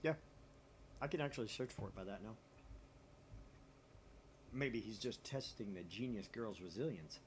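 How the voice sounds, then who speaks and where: A man co-hosting a visual novel playthrough, switching between casual chat and animated narration of the game text. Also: on the low side, moderate pace, long pauses, a little hiss or hum.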